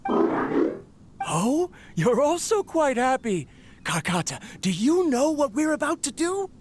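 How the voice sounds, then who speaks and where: A man's voice: very high voice, very animated, slow pace, faint music behind, exaggerated voice. An actor playing a proud, dreamy male inventor in a video game, speaking in grandiose theatrical monologue. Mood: fond.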